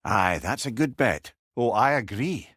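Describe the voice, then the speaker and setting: A man's voice: mid-range voice, expressive, a little slow, a bit bright, no echo, studio quality. A man playing a wise, wry old advisor in a video game, a chatty and learned storyteller with a Scottish accent. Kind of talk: video game character dialogue.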